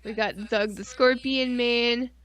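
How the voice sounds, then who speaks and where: A woman's voice: a little high, steady tone, slow pace, fairly bright tone. A woman co-hosting a casual live-stream chat, rambling with geeky enthusiasm, slang and frequent swearing. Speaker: podcast co-host.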